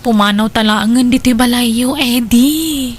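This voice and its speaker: A woman's voice: fairly high pitch, a little slow, a little hiss or hum, close to an everyday voice. A woman playing a young girlfriend with a sweet, pouting and demanding way in an audio drama. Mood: sulky, insistent.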